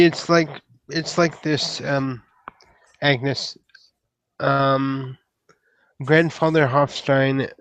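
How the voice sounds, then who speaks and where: A man playing an awkward, polite troll knight in a tabletop roleplay, speaking in a halting, formal, flustered way. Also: medium pitch, fairly bright tone, smooth, no echo, poor audio. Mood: flustered, hesitant. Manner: halting, hesitant, hedging politely.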